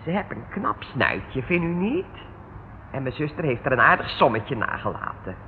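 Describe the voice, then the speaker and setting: An actor playing a sly, wheedling crook under police questioning in a radio play, with an oily, familiar manner. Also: male voice, mid-range voice, sing-song, normal speed. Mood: wheedling, teasing. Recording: muffled, noisy old radio recording.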